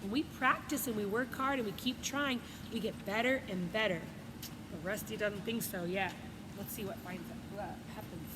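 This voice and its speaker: A woman's voice: medium pitch, normal speed. A woman reading a children's story aloud and adding simple, encouraging asides like a teacher. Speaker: coach reading a picture book to students. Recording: echoey reverberant room with loud background noise.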